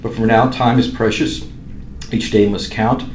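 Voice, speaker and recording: A man with a very low voice speaks slowly as he teaches, explaining each step of a math problem. He pauses often as he works. The room adds some echo, with faint noise behind him. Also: fairly even intonation. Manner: measured, with pauses while writing. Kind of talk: instructional math lesson, working through problems step by step.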